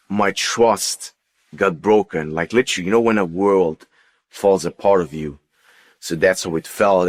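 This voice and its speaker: Very deep-voiced man giving testimony. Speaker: guest giving a personal testimony. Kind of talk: unscripted personal testimony.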